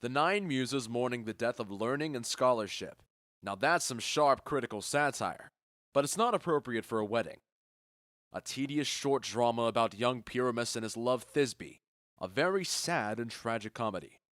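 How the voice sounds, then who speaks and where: A man's voice: medium pitch, expressive, normal speed, a bit bright, no room sound, studio quality, close to an everyday voice. A man playing a confident, genial Athenian duke in a modernized Shakespeare audio drama, speaking in a self-assured, declamatory way. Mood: curious, amused.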